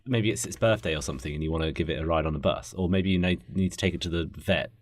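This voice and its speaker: Low-voiced man, dry casual chat. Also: quick pace. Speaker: podcast co-host.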